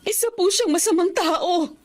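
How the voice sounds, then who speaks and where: High-voiced, anxious Filipino wife and mother. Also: normal speed. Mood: worried, pleading. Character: worried middle-aged wife and mother, anxious and pleading. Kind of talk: emotional Filipino radio drama acting.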